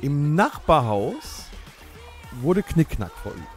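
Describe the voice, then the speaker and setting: Man, podcast quiz host, lively swooping delivery, teasing, music behind. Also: on the high side, slow. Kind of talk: casual quiz banter. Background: background music.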